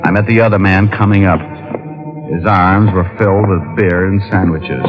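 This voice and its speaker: An actor playing a wry, tough private detective in a 1940s radio drama, with clipped, deadpan delivery. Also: male voice, sits low, moderate pace, natural voice. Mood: grim, tense. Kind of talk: 1940s radio acting, hard-boiled narration. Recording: dull old radio recording with music.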